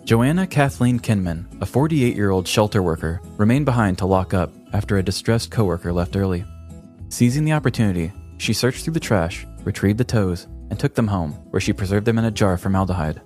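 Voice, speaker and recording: A man narrating a scripted true-crime comedy podcast in a theatrical, deliberate storytelling manner. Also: on the low side, animated, a little mellow, soft background music.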